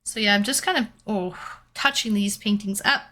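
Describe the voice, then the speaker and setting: A woman's voice: mid-range voice, moderate pace, pushed and bright. A young woman from Canada chatting casually with viewers while painting and reading out their comments. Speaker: streamer.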